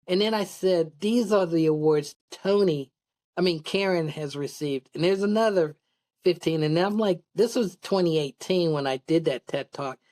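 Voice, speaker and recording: Podcast guest: middle-aged woman with a low-set voice, casual and candid, wandering through personal stories. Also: normal speed, a little mellow. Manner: candid, rambling storytelling. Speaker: podcast guest, public speaker. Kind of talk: casual interview, personal anecdotes.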